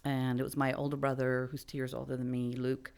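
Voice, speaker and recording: Very low, gravelly middle-aged woman. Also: a little fast. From Belgium. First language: French. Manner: relaxed, hesitant with many fillers. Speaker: guest. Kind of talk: interview, telling her life story. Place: studio.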